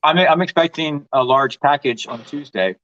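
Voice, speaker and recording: A man chatting casually on a livestream in an easygoing, unhurried way. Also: on the high side, a bit bright. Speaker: livestream co-host. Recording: dull lo-fi mic.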